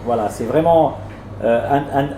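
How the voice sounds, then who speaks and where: A man with a mellow, dark voice answers interview questions about his work. He stumbles and repeats words as he feels his way through each thought. The room echoes around him, with faint noise behind. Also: mid-range voice, measured pace. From France. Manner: hesitant, repeats words often, warm and plain. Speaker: restaurant owner.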